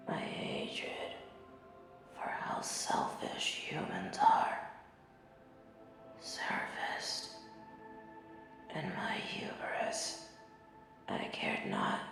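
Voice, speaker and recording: A woman's voice: mid-range voice, creaky, heavily acted. A woman acting an anguished, echoing archangel woman. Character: proud, guilt-burdened female angel, archangel of judgment, anguished. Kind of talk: dramatic audio roleplay monologue. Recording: cavernous echo with music behind.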